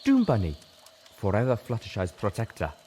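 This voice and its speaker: A man's voice: fairly low pitch, huge pitch swings, moderate pace, mellow, slight background noise. A man voicing a protective, dramatic female zebra in a storybook reading. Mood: solemn. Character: fierce protective female zebra, solemn and gravely wounded.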